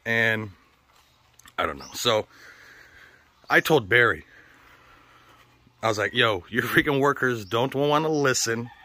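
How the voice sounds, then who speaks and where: Male vlogger, mid-pitched and bright, forceful edge; loose, rambling, sweary, straight-talking delivery to an online audience. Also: takes it slowly, pauses often. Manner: profane, slangy, blunt. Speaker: vlogger hosting a channel. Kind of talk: casual rambling vlog talk to viewers.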